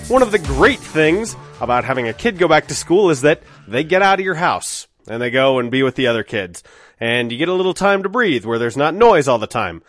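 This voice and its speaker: A man's voice: medium pitch, sing-song, brisk, fairly bright tone, soft background music. A middle-aged male podcast host talks with a head cold, earnest and candid. His voice sits in an ordinary middle range, and the dry, close sound carries no room echo. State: has a cold. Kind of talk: casual podcast intro monologue.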